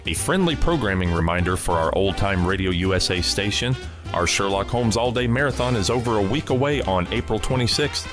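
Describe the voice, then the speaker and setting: A man hosting a radio program with scripted, promotional narration. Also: low-pitched. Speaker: podcast and radio program host.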